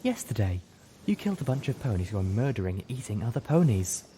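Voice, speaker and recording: A man voicing a gentle, caring female unicorn healer with soft, articulate speech in an audiobook. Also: fairly low pitch, big pitch swings, fast, slight background noise. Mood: sorrowful. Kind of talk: audiobook character voice.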